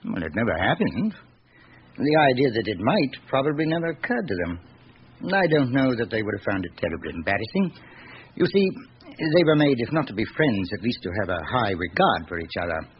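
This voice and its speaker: An actor playing a genial, worldly seventy-seven-year-old lawyer in a classic radio drama, musing and reminiscing urbanely with thoughtful hums. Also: male voice, medium pitch, sing-song, close to an everyday voice. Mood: amused, wistful. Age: older. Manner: musing, discursive, urbane, with reflective hums and pauses. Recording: dull, hissy old radio recording.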